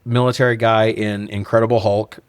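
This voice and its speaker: A man's voice: on the low side, little pitch movement, brisk, clear, resonant tone, dry recording. A man co-hosting a podcast in a casual film review chat, opinionated and joking, with some swearing. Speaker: podcast co-host.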